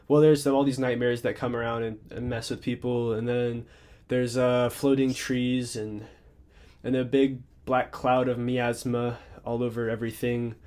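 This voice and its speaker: A man's voice: mid-range voice, a little mellow. Young adult man, congested, rambling and casual; off-the-cuff reviewer. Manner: rambling, many fillers and restarts. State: congested, slightly stuffy. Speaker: book reviewer.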